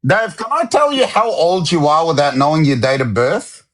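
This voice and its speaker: A man's voice: a little high, sing-song, moderate pace, a bit bright. A man in a heated live debate, insistent and talking over others as he repeatedly demands sources. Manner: talks over others, interrupts.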